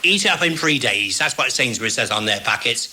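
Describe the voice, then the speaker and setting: A man chatting homely and rambling as an interviewee, with halting restarts. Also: medium pitch, expressive, sharp tone, slight background noise. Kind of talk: casual chat.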